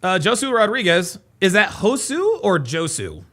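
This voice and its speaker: Animated high-pitched man reacting. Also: normal speed, slight background noise. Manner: animated, mocking repetition of others' words. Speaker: host reacting to a video. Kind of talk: casual live reaction commentary.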